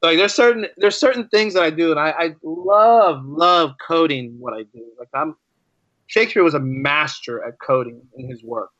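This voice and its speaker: A man chatting casually as a podcast guest, restating his phrases for emphasis in an animated way. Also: on the high side, moderate pace, dry recording, lo-fi sound. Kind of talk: casual interview chat.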